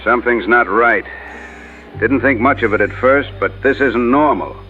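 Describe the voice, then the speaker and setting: A man's voice: mid-range voice, normal speed, phone-quality sound, lightly acted. An actor playing a wary, understated male small-town sheriff in a radio drama. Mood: wary, dry. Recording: band-limited radio sound, faint music.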